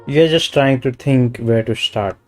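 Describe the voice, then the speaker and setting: Indian guy streaming a game, hindi speaker, casual commentary, medium pitch, music in the back. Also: a little slow.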